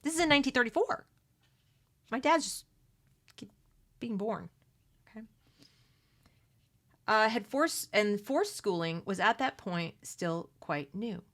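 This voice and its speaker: A woman's voice: a little high, lively intonation, slow, long pauses, a bit bright. A middle-aged American woman giving opinionated, unscripted commentary while reading aloud from a book, with conversational asides and rhetorical questions. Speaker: host of a read-along commentary video.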